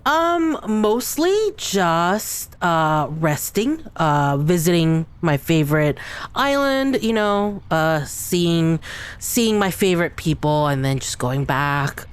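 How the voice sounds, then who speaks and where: A woman's voice: lowish voice, expressive, takes it slowly, clean tone. A woman as an ancient, unbothered giant dragon turtle. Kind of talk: improvised tabletop roleplay.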